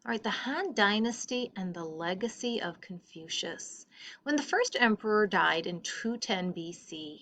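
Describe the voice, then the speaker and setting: A woman teacher reading a textbook aloud to a class with deliberate, emphatic phrasing. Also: medium pitch, bright, forceful tone, dry recording.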